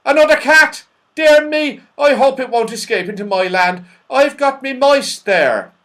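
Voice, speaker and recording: A man voicing a muddled, excitable little man who yelps and calls out in a comic children's storybook style. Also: measured pace, heavily acted. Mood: anxious, muddled. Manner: shrill yelping and calling, words often misheard.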